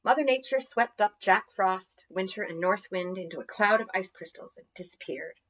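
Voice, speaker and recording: A woman storyteller reading scripted narration for children in an expressive, deliberate manner. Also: mid-range voice, rough recording.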